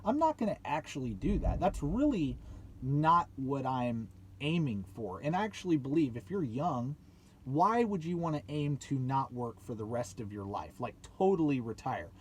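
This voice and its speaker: Male, late 20s, motivational vlogger, mid-pitched, emphatic and repetitive, faint background noise. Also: big pitch swings, normal speed. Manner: emphatic, repeats points, rhetorical questions. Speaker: YouTube channel host. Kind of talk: motivational vlog talk to viewers.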